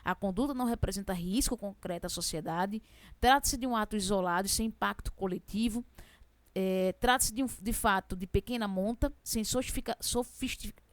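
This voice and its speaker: A young woman with a strong regional accent teaching law for civil service exams, fast-talking and chatty, asking questions and answering them herself. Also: medium pitch, dry recording. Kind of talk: exam prep lesson.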